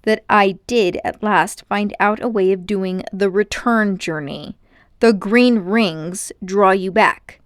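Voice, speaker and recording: A haughty older gentleman magician, acted out by a woman reader, vain and cruel, speaks in grandiose, indignant phrases. The storybook character sounds close and dry. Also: mid-range voice, slow, dry recording, natural voice. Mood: smug, cruel.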